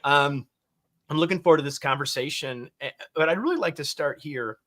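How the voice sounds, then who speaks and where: A man's voice: a little high, a little fast, fairly bright tone. An older man hosting a leadership podcast, reading promos and chatting with a joking manner. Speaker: podcast host. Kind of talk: podcast interview.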